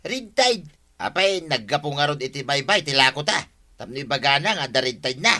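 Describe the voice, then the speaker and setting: A man's voice: a little high, sing-song, normal speed, bright, forceful tone. A man acting a scheming, cheerful village vendor with exclamatory, wheedling delivery and an Ilocano accent in a comedy radio drama. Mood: coaxing. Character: scheming, cheerful village man hawking dubious snails and greens.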